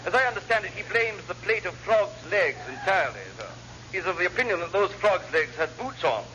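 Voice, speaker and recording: An actor playing a cheerfully apologetic, blithely incompetent naval lieutenant who rambles and repeats himself in an old radio sitcom. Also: male voice, high voice, lively intonation, a little fast. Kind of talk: classic radio sitcom acting. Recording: noisy old radio comedy recording.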